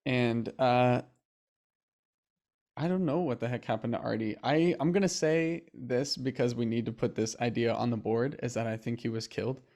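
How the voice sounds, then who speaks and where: A man, a voice actor on a panel, chatting casually and excitedly. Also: mid-range voice, normal speed. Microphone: video call.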